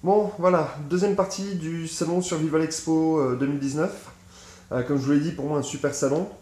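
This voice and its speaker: A man's voice: on the high side, fairly even intonation, brisk, a little mellow. A man in his forties, vlogging on a phone camera in a small indoor room, chatty and hesitant with frequent fillers. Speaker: survival gear vlogger. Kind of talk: casual show-and-tell vlog. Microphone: phone camera at arm's length. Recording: echoey room sound.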